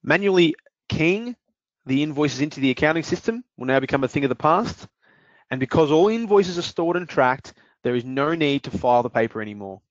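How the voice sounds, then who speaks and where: A man presenting and walking through a software demonstration in a steady explanatory way, with occasional fillers. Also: fairly high pitch, brisk, dry recording. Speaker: product presenter. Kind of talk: software demo walkthrough. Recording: dull dry lo-fi audio.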